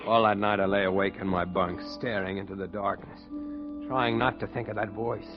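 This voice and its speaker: An actor playing a fearful, haunted treasure diver, in dramatic old-time radio style. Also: male voice, lowish voice, steady tone, moderate pace, lightly acted. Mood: grim, haunted. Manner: dramatic, tense delivery. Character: haunted, fearful male treasure diver telling his story. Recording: dull vintage radio recording with music behind.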